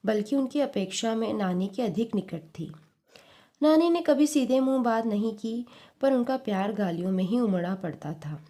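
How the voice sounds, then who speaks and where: A woman's voice: medium pitch, warm tone. A woman reading a short story aloud in a scripted audiobook narration style.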